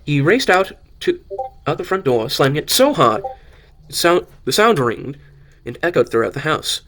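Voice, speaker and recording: Halting man narrating an amateur story. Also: on the high side, sing-song, a bit bright. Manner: halting, stumbles and rereads phrases. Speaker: narrator of an amateur audio drama.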